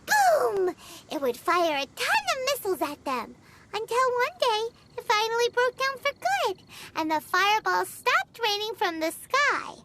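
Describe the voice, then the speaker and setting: An actress voicing a tiny, excitable female companion who talks about herself in the third person, in a video game cutscene. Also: noisy background, heavily acted. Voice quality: high squeaky childlike voice. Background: game music.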